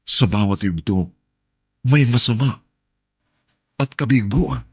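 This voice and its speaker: A man's voice: mid-range voice, takes it slowly, creaky, husky. A Filipino man hosting a radio drama with solemn, theatrical scripted narration. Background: soft music.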